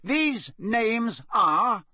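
A man's voice: high voice, expressive, moderate pace, a bit bright, no echo, clean studio sound, voice pushed up. A man in a storybook reading, voicing a stern Ministry official, clipped, formal and cold. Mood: brisk, formal.

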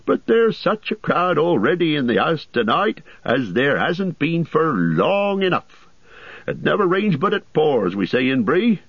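A man voicing a bustling, forgetful, talkative middle-aged innkeeper in a storybook reading, in a high, hurried, fussy voice. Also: big pitch swings, breathy edge, dry recording, dull audio, heavily acted. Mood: flustered, busy.

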